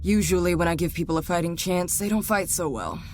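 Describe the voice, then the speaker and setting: A woman's voice: on the low side, fairly quick, music in the background, close to an everyday voice. A woman playing a cocky, menacing captor in an audio drama, with a sardonic, taunting drawl. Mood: sardonic menace. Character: cocky, menacing, wry female gunslinging captor.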